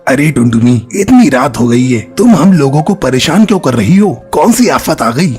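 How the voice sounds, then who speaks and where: A man's voice: mid-range voice, sing-song, normal speed, a little mellow, faint music behind, lightly acted. A man voicing a greedy, cruel male crow who owns a factory, bossy and scolding in a cartoon dub.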